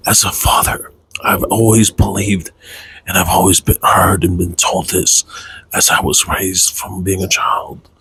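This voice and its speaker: A man with a deep, forceful-edged voice that is strongly husky and breathy. He speaks in a halting, reflective way, as in a confessional vlog. His sentences come slowly and hesitantly. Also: rough recording. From United States, raised Tongan American. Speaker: content creator, father.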